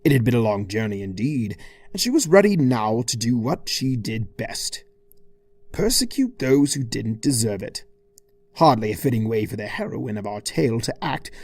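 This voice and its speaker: A man's voice: mid-range voice, sing-song, moderate pace, gravelly, darkish tone, no room sound. A man reading a scripted comic story as narrator, in an arch, theatrical, mock-formal manner. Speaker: fan fiction reader.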